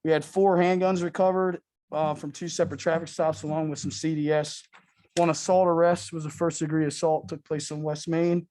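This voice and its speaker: A man's voice: on the high side, flat delivery, fairly quick, mellow. A male sheriff's deputy reading a monthly police report to a town board in a plain, matter-of-fact way.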